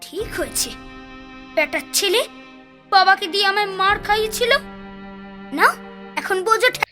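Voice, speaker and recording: A mischievous young village boy from a cartoon, played by a woman, speaks in a piercingly high, bright voice. He is stubborn, cheeky and quick to complain, with music playing underneath. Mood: gloating. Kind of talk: Bengali cartoon dubbing.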